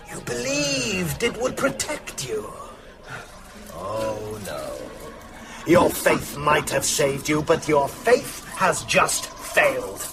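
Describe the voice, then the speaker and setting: An actor playing a mocking, cruel master vampire in a radio horror drama, with a gravelly voice and theatrical, taunting delivery. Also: male voice, fairly high pitch, heavily acted.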